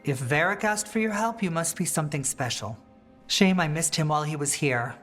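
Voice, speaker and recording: Maevaris, a wry, low-voiced aristocratic mage. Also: female voice, fairly quick, fairly bright tone, faint music behind. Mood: wry, familiar. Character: poised, sly aristocratic mage rebel, worldly and wry. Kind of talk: fantasy game voice acting.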